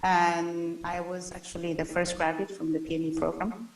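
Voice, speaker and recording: A woman in her thirties, a researcher, introducing herself haltingly at a panel discussion. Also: lowish voice, level tone, a little hiss or hum. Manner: hesitant, halting delivery. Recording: echoey, distant remote-panel sound.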